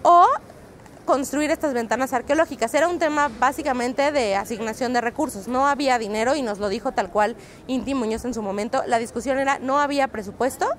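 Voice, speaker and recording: High-voiced Mexican woman reporter outdoors. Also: a little fast, slight background noise. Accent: Mexican Spanish. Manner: fluent and explanatory, with frequent "eh" fillers. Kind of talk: street interview. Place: outdoors on a busy city street. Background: faint street ambience.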